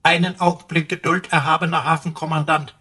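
A man's voice: a little high, little pitch movement, a little fast, sharp tone, breathy edge. A man playing a servile, mocking computer sidekick in a German radio play, talking with pompous mock submission.

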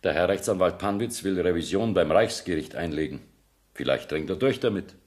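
Radio play casting: a self-justifying high court judge, formal and measured, fairly deep voice. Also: male voice, lively intonation, a little mellow. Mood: reasoning. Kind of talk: 1970s German radio play acting.

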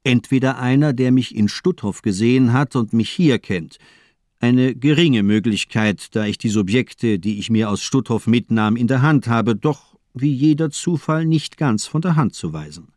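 A man's voice: mid-range voice, moderate pace, darkish tone, dry recording, clean studio sound, natural voice. An actor playing a murderous, pedantic doctor in a radio crime drama, precise, formal and mocking in his lecturing. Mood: amused, methodical. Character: cold, amused villain doctor, murderous and pedantic.

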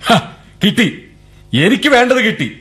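A man's voice: very high-pitched, lively intonation, moderate pace, a bit bright. An actor playing a defiant young man who mocks his family with bitter laughs. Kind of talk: 1970s radio drama acting.

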